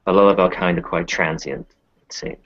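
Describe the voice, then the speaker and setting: A man with a very low voice talks in casual roleplay. His tone is gravelly yet husky, and the recording is dull and lo-fi. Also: a little fast, fairly bright tone, no echo. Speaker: tabletop roleplaying player.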